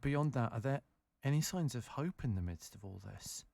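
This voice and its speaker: A man interviewing on the radio, putting questions in deliberate, separate phrases. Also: medium pitch, animated, gravelly, darkish tone.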